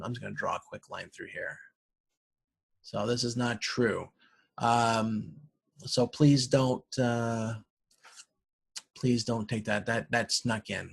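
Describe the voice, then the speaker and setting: Male lecturer, mid-pitched and rough-edged, informal explanatory delivery with halting repeats. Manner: halting, thinking aloud, repeats words.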